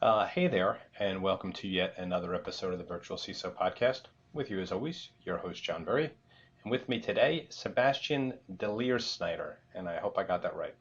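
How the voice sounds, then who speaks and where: Low-voiced man hosting a podcast. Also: animated, fairly quick, highs cut off. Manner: easygoing, chuckles and stutters on starts. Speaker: podcast host. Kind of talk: casual interview hosting.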